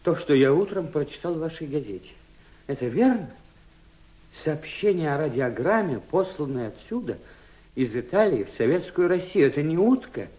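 Animated, high-voiced émigré gentleman. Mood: agitated, indignant. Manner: theatrical, emphatic, halting. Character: agitated, courteous anti-Bolshevik émigré gentleman. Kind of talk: Soviet radio drama acting. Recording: dull old radio play recording.

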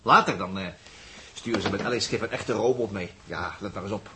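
A man's voice: lowish voice, lightly acted. Man playing wisecracking spaceship crewman, noisy recording. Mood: teasing. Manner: bantering, hesitant drawn-out jibes. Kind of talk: 1960s Dutch radio play acting. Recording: noisy old radio recording with clicks.

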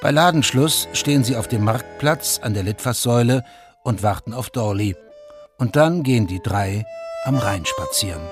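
A man narrating a radio drama from a script in a factual, measured, documentary-like way. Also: mid-range voice, animated. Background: music.

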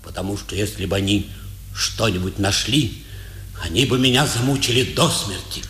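An actor playing a prison warder who secretly helps inmates, guarded and deliberate, in a 1950s Soviet radio play. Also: male voice, lowish voice, breathy edge. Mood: guarded, confiding. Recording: old radio drama under loud music.

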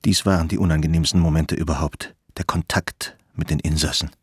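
A man's voice: a bass voice, steady tone, brisk, slightly rough, dry recording. A man narrating a radio play in a scripted, literary style. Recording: studio narration with faint music.

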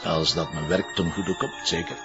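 A man's voice: low voice, very animated, moderate pace, gravelly, lo-fi sound, over music, full character voice. A man with a Flemish accent playing a wry, sarcastic, persuasive police commissioner in a crime drama. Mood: wry surprise. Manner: sardonic, teasing, persuasive.

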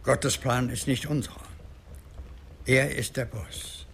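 An actor playing an old, devout grandfather and medicine man in a German radio drama, solemn, deliberate and plain-spoken. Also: medium pitch, steady tone, gravelly, a little hiss or hum. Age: older.

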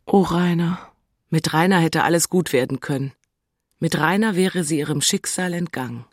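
A woman's voice: deep voice, normal speed, no room sound, clean studio sound. An actress playing a lovelorn, weepy and sardonic single woman from the city in a dramatic audiobook. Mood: wistful. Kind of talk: theatrical audiobook monologue and dialogue.

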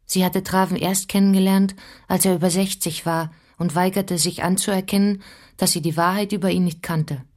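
A woman's voice: on the low side, fairly even intonation, normal speed, darkish tone, close to an everyday voice. Woman as a composed, inquisitive researcher. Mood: sober narrating. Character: determined female researcher narrating and interviewing witnesses. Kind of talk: radio drama, narration and dialogue.

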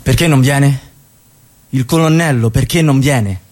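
A man's voice: medium pitch, unhurried, darkish tone, close to an everyday voice. Man as menacing, imperious party leader. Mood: uneasy. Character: menacing, accusatory male revolutionary party leader. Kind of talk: Italian radio theatre acting.

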